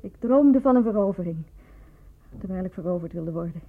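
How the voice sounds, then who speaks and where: A husky-voiced woman in a radio play, bitter and evasive under questioning. She speaks with brooding, defensive drama at a middle pitch, and the recording is dull and lo-fi. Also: animated, fairly quick. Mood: brooding, dramatic. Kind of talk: Dutch radio play acting.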